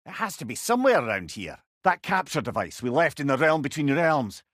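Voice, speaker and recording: A man's voice: a little high, big pitch swings, fairly quick, a bit bright, no room sound, studio quality. An older man with a Scottish accent playing a wry, eloquent old companion in a video game. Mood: wry, conversational. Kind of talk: video game character dialogue.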